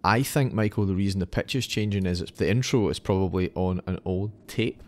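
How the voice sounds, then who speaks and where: Low-voiced man hosting a podcast. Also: a little fast, a little mellow. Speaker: podcast host. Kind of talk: scripted narration mixed with casual chat.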